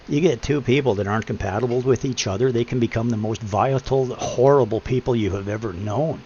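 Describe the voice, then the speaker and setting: A deep-voiced middle-aged man giving blunt, preachy advice in a casual chat, swearing freely. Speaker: podcast host. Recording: muffled lo-fi with faint hum.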